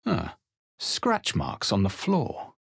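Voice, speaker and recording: An actor playing a grave, weary and observant adventurer in a video game, speaking in a measured, dramatic, husky way. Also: male voice, mid-range voice, huge pitch swings, fairly bright tone, no room sound, studio quality, full character voice. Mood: wary. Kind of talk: scripted video game narration and dialogue.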